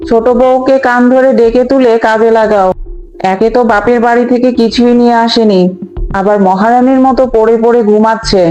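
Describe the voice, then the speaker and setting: A woman's voice: a little high, steady tone, fairly quick, warm tone, dull audio, natural voice. A woman voicing a greedy, bossy older mother-in-law in a fairy-tale cartoon. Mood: bossy scolding. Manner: bossy, scolding, gloating. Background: background music.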